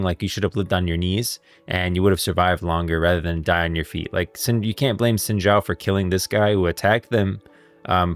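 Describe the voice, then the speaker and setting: Low-voiced man rambling on a livestream. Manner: rambling, thinking aloud. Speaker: gaming lore streamer. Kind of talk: casual livestream commentary on game lore.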